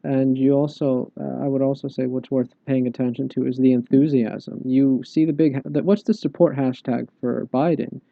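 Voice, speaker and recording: Gravelly man on a dull dry mic. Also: medium pitch, steady tone, normal speed, hardly any pauses, dry recording. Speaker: podcast co-host. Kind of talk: casual political commentary.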